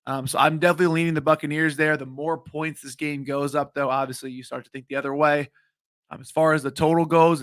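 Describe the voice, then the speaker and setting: A man in his late twenties hosting a sports betting stream, talking casually with many ums, his voice a bit hoarse. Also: a little high, pushed and bright, dry recording, clean studio sound. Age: late 20s. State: slightly hoarse voice. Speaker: sports betting show host. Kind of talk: live-streamed betting picks talk.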